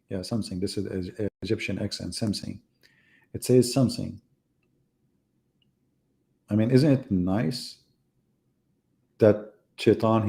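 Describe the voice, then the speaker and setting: A man's voice: on the low side, gravelly, warm tone. A middle-aged man hosting a livestream, taunting his audience with sarcastic rhetorical questions. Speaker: livestream host. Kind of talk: mocking livestream monologue.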